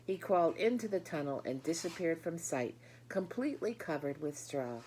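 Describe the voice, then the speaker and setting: A woman's voice: deep voice, animated, normal speed, darkish tone, slight background noise. A woman reading a children's book aloud, speaking directly to her listeners with explanatory asides. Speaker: storybook reader.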